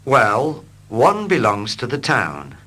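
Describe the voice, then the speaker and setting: Slow, lively, bright-voiced male English teacher. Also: medium pitch. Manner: slow, clear and expressive. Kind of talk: slow scripted reading for learners.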